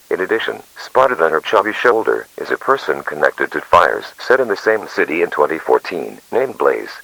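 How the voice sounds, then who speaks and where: A man's voice: sits low, vocal fry, pushed and bright, no echo, poor audio. A man reading a scripted emergency alert as an announcer.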